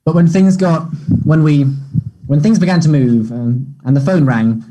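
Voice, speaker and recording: An earnest, unsure young man, acted by a man in a play reading. His voice is soft-edged, mellow and slightly high, with some huskiness. He speaks haltingly and apologetically, as if feeling for each phrase. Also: fairly even intonation, natural voice, poor audio. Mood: hesitant, uneasy. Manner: hesitant, halting. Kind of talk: play table read.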